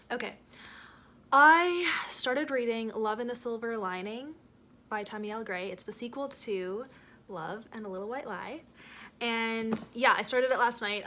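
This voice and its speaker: A woman's voice: a little high, a bit bright. A young Canadian woman with a Canadian accent, chatting casually at home in a rambling vlog style, full of fillers and self-corrections. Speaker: book vlogger. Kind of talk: casual reading vlog chat. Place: home, seated in a rocking chair.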